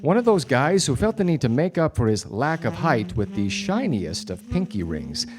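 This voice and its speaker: A man performs a wry, self-important private eye from a 1940s radio play. His male voice sits at a middle pitch and swings expressively through deadpan noir narration. Music plays clearly behind him. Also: moderate pace, natural voice. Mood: dry, confiding. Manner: deadpan, theatrical. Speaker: detective and narrator. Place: theatre stage before studio audience.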